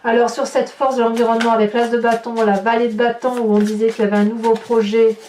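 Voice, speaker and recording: A woman's voice: a little high, flat delivery, darkish tone, distant mic, a little hiss or hum. Woman reading tarot in a roomy space. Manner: slow, emphatic, reassuring, addresses viewers directly. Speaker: tarot reader. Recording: roomy, from off-mic.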